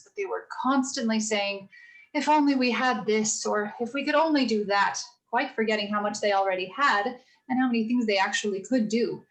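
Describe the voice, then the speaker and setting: A woman playing a gentle, earnest mother of four girls, telling stories in a measured, sincere way for a radio play rehearsal. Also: medium pitch, close to an everyday voice, rough recording. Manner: measured, sincere moral storytelling.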